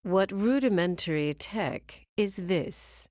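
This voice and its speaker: A woman playing a cold, haughty female gem engineer villain in an animated game, speaking in a deliberate, clinical, condescending way. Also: medium pitch, no room sound, clean studio sound. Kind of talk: animated game cutscene acting.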